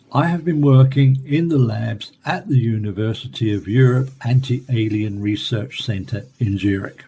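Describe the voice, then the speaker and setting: A man playing a renowned professor interviewed in a science fiction radio play, explaining research in a measured, scripted way. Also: fairly low pitch, mellow, a little hiss or hum.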